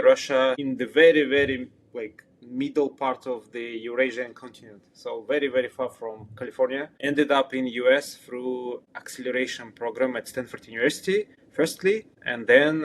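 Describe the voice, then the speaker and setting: A man from Kazakhstan with a Kazakh accent, talking casually as a guest founder and repeating words for emphasis. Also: medium pitch. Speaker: startup founder, interview guest. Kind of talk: casual interview chat.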